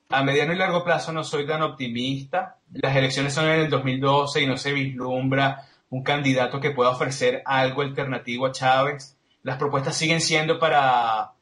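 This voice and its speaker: A man's voice: mid-range voice, a bit bright, echoey room. A man with a Venezuelan Spanish accent giving analytical, explanatory answers as an interview guest, reasoning step by step. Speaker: interview guest, political commentator.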